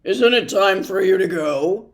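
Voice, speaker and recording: A narrator voicing a blustering male storybook doctor, booming and emphatic, with a declamatory delivery. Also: lively intonation, unhurried, creaky. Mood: roaring, triumphant. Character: blustering, roaring male doctor who adores noise.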